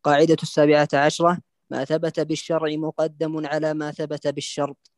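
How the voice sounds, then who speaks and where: A man, a student, reading rule texts aloud in a flat recited manner during an online lesson. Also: fairly high pitch, a little fast, dry recording, clean studio sound.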